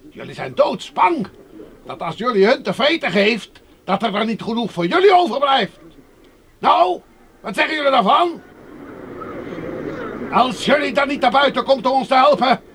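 Radio drama: coarse, accusing street agitator, very high voice, faintly noisy recording. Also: male voice, lively intonation, normal speed, long pauses, a bit bright, full character voice. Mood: defiant, taunting. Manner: ranting, accusing, coarse-mouthed. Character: angry sighted agitator shouting at the gate for the blind.

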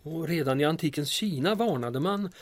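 An actor playing a mild, cautious and principled small-town mayor in an audio drama, measured and questioning. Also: male voice, a little high, animated, natural voice. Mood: thoughtful.

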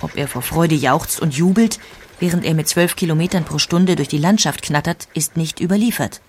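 A woman reading scripted documentary narration in a measured, explanatory way. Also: deep voice, a little mellow, slight background noise. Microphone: studio microphone.

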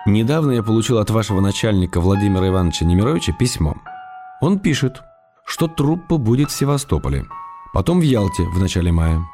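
A man playing a wry, lonely, affectionate writer, reading his letters aloud in a measured, tender way for a radio play. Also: lowish voice, very animated. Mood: matter-of-fact. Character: wry, lonely male writer in provincial exile, gently affectionate. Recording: radio broadcast with music behind. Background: music.

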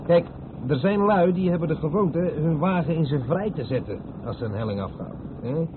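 A man's voice: fairly high pitch, animated, moderate pace, close to an everyday voice. An actor playing a seasoned truck driver, wry and firm as he cautions his mate in a radio play. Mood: wry, cautionary. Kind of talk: old radio play dialogue. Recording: tinny radio recording with loud vehicle noise.

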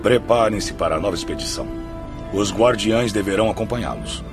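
Deep-voiced, commanding director played by a man. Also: fairly quick, music in the background, lightly acted. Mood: composed, commanding. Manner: formal, commanding. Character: authoritative, composed male director of a spirit hospital. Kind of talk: radio drama acting.

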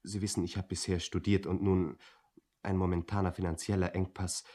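An earnest young clerk in a radio play, fresh from his studies. The voice is low and somewhat raspy, the courteous, formal and a little pedantic. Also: level tone, a little fast, warm tone. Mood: polite, earnest. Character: polite, earnest young male clerk, recent student. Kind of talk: German satirical radio drama acting.

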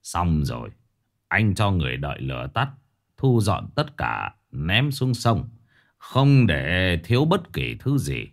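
Deep, low, deliberate ritual master, voiced by the male narrator; stern, unhurried commands. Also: big pitch swings, exaggerated voice. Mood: calm instructing. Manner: slow, firm, commanding.

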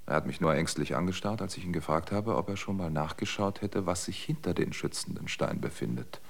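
A man playing a wary, solitary survivor in a radio drama, speaking in a measured, matter-of-fact, brooding way. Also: low-pitched, creaky. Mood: sober, watchful. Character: wary, sober male survivor keeping a solitary log. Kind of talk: German radio drama monologue and dialogue.

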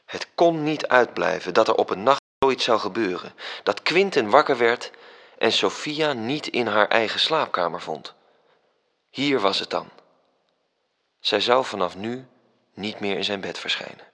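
A man narrating a literary radio drama in a measured, serious storytelling manner. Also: on the low side, lively intonation, fairly bright tone, full character voice.

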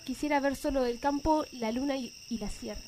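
A woman's voice: high voice, fairly quick, darkish tone, close to an everyday voice. A woman playing a nervous Argentine teenage girl. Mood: fearful. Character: anxious teenage girl in love, fearful. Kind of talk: amateur radio drama acting. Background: music.

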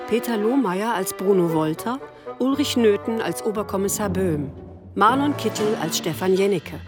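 A woman reading scripted credits as a radio drama announcer. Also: medium pitch. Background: orchestral brass music.

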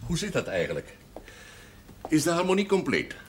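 An actor playing an older, jovial and commanding village parish priest in a television drama. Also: male voice, on the high side, wildly swooping pitch, normal speed, noisy background. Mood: brisk, jovial. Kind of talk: naturalistic television drama acting.

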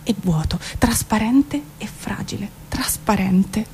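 A woman's voice: medium pitch, lively intonation, slow pace, in a whisper. A woman giving a melancholic, introspective, theatrical spoken-word reading of poetic prose, as a stage performer.

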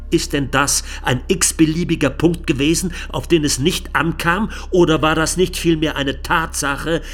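An actor playing an indignant, sardonic lawyer in a radio play, addressing a court in with rhetorical emphasis and pointed pauses. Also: male voice, on the high side, a bit bright. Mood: indignant, pressing.

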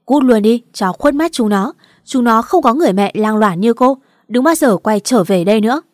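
A woman's voice: on the high side, lively intonation, normal speed, a little mellow, no echo, clean studio sound, close to an everyday voice. A woman voicing a cruel, enraged father who barks harsh commands in a dramatic audio story. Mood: furious, contemptuous.

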